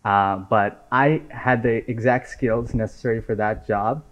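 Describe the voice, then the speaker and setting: A man in his twenties from Nepal, chatting casually as an interview guest. He talks in a relaxed, easy way, often dropping "right?" and "man" into his sentences. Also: lowish voice, a little slow. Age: 20s. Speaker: software engineer guest. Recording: dull lo-fi audio.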